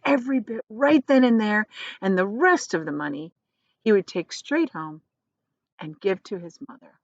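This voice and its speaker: A woman's voice: medium pitch, lively intonation, normal speed, dry recording. A woman narrates a children's storybook in a mid-range voice, leaning on key words for drama. The sound is dry and slightly dull, like a simple lo-fi recording. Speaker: teacher reading aloud.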